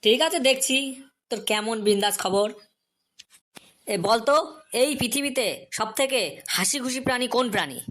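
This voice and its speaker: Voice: mid-range voice, moderate pace, long pauses, a bit bright, lightly acted. An actor playing an irritable, scolding schoolteacher in a comedy cartoon, questioning and threatening the pupils in an exasperated way. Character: irritable, scolding school teacher, addressed as sir.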